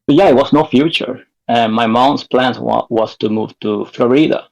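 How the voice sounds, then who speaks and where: A gravelly-voiced man from Peru in his thirties, talking as a podcast guest. He rambles casually, swears freely and laughs easily. His pitch sits in the middle range. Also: moderate pace, a little mellow, low-quality recording. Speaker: guest band member.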